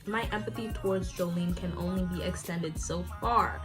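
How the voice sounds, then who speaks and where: A woman's voice: fairly low pitch, steady tone, moderate pace. Wry woman narrating over music. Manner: wry, opinionated. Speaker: commentary youtuber. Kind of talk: scripted commentary narration.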